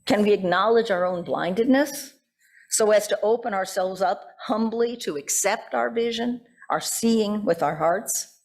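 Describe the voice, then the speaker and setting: A woman pastor giving a sermon in deliberate, emphatic phrases, often posing rhetorical questions. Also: mid-range voice, a little mellow.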